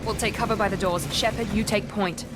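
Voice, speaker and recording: A woman's voice: mid-range voice, fairly even intonation, fairly quick, heavy background noise, lightly acted. An actress playing a cool, sceptical female operative in a video game, matter-of-fact and assertive. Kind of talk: dramatic game dialogue.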